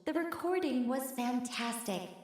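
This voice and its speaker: A woman's voice: high voice, animated, measured pace, a little mellow. A woman voicing a polite, encouraging show organiser in a video game, with courteous delivery. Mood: congratulatory. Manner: courteous, congratulatory. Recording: heavily echoing, faint noise with clicks.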